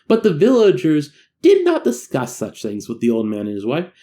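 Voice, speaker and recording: A man reading a classic tale aloud as a narrator, in a dramatic, measured and solemn storytelling manner. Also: a little high, wildly swooping pitch, dark tone. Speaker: amateur audiobook narrator.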